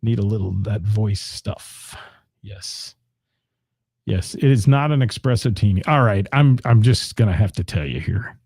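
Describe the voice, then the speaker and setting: A man's voice: lowish voice, animated, measured pace, a little mellow, no echo, studio quality. A man, hosting a live show and rattling off local gig listings with asides to the chat. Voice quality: gravelly voice. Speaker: radio host.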